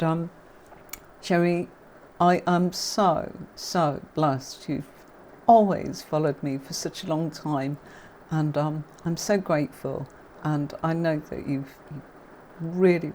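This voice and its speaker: Deep-pitched British woman, neutral accent, chatty livestream tarot teacher, faint noise in background. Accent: neutral southern English, faint Yorkshire. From Yorkshire, England. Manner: chatty, warm greetings to viewers, many fillers. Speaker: tarot reader hosting a livestream.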